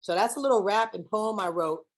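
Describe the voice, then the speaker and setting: Lively woman speaker mixing English, Spanish. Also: medium pitch, fairly quick. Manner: lively, mixes English and Spanish, asks audience questions. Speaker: keynote speaker. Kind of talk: speech to students with spoken-word poem.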